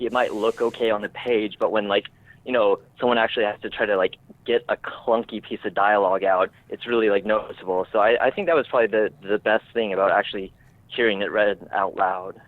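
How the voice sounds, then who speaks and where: A man chatting casually as an interviewed screenwriter, informal and self-deprecating, often halting as he searches for words. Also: fairly low pitch, fairly even intonation, no room sound, narrow, tinny audio. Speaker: screenwriter guest.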